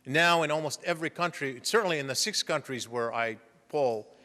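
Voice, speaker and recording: A middle-aged man giving a public lecture, explaining at a measured pace with frequent fillers and restarts. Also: on the high side, bright, forceful tone. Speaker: guest lecturer. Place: lecture hall. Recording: reverberant lecture hall.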